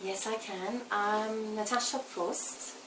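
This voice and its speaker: A woman in her forties from Belgium, talking in a relaxed interview and thinking aloud with fillers and restarts. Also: medium pitch, slow pace. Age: 40s. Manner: thoughtful, with fillers and self-corrections. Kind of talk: casual interview. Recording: roomy, distant mic with faint noise.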